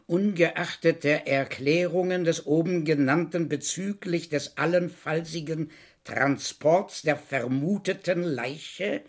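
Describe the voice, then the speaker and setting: Sly, gravelly police inspector. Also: male voice, on the high side. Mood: quietly menacing. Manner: sly, deliberate, feigned sympathy with pauses. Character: shrewd, needling male police detective inspector in Paris. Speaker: actor in a crime radio play. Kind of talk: German crime radio play acting.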